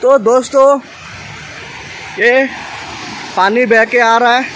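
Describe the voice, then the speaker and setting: A man's voice: very high-pitched, fairly even intonation, fairly bright tone. A young man filming a casual travel vlog on his phone outdoors, chatty and addressing his viewers. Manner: chatty, repeats "दोस्तों" and "मतलब", addresses viewers. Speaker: vlogger. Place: outdoors by a forest stream and picnic shelter. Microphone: phone held at arm's length. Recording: noisy outdoor phone recording. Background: rushing stream water.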